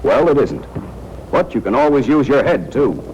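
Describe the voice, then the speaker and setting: A man's voice: medium pitch, sing-song, normal speed, darkish tone, lo-fi sound. An American man narrating a 1940s military training film in a tough, wry, slangy manner, speaking directly to soldiers. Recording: noisy 1940s film soundtrack.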